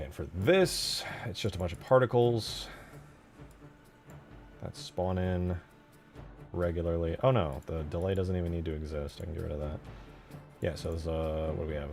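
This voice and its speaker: A man giving casual, low-key commentary as he works on a game mod, muttering to himself as he thinks aloud. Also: normal speed, pauses often, a little mellow. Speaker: game modder. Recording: music behind the voice. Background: clear background music.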